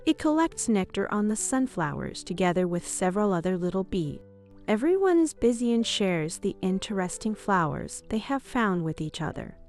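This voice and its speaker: A woman's voice: medium pitch, very animated, normal speed, darkish tone. Woman narrating a children's story over music.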